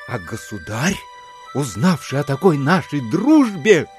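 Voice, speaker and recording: An actor playing a sugary, sentimental, dreamy landowner in an audio drama, with cloying, drawn-out, gushing delivery. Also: male voice, a little high.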